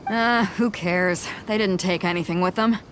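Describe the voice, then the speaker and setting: A woman's voice: mid-range voice, normal speed, fairly bright tone, a little hiss or hum, natural voice, low-quality recording. An actress playing a bold, blunt female ship captain in a video game, confident and commanding.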